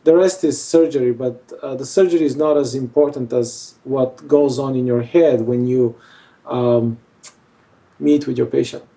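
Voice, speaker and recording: A man's voice: mid-range voice, steady tone, moderate pace, vocal fry, mellow, distant mic. A male surgeon from Bulgaria, answering interview questions hesitantly with many fillers. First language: Armenian. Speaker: facial plastic surgeon.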